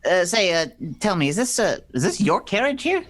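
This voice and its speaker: A man's voice: high-pitched, very animated, moderate pace, fairly bright tone. A man playing a flustered romantic on the run in an old-fashioned radio play, stammering and pleading. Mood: awkward, curious.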